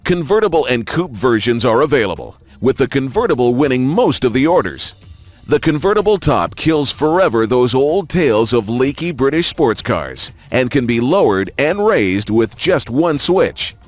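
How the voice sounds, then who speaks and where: Male narrator for racing game car showcase. Polished announcer read, lively intonation, music bed underneath. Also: mid-range voice, moderate pace. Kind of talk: scripted promotional narration.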